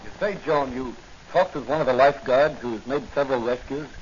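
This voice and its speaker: An American man playing a calm, confident young police hero in a 1940s radio drama. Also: mid-range voice, brisk, lightly acted. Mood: serious, investigative. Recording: noisy, dull 1940s radio recording.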